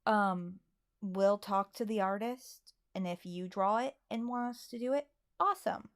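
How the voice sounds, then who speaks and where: A woman's voice: medium pitch, a little slow, dry recording, studio quality. A woman co-hosting a casual livestream, chatting and answering questions from viewers. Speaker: co-host of a livestream.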